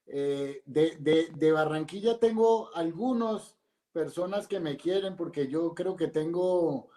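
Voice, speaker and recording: A man's voice: a little high, slow pace, dark tone, reverberant. A male host speaking effusively and admiringly to his guest, with hesitant restarts. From Italy. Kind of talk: casual interview.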